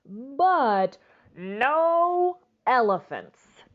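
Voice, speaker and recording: A woman voicing a firm, reluctant but kindly old grandmother in a children's storybook, with emphatic, drawn-out phrases. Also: high voice, sing-song, highs cut off, pitched above the performer's own voice, full character voice. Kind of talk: children's storybook read-aloud.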